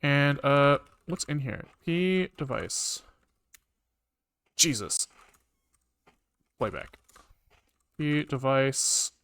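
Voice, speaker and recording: A man casually live-coding on a stream, thinking aloud in halting, muttered bits as he works. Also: medium pitch, animated, fairly bright tone, clear, resonant tone. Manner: halting, muttering to himself while working. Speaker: programming livestreamer.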